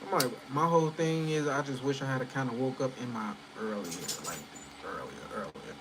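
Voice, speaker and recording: A man's voice: mid-range voice, brisk, heavy background noise. A man in his early thirties chatting loosely at home with viewers, rambling, full of fillers and swearing. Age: 30s. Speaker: channel host chatting with viewers. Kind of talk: casual livestream chat.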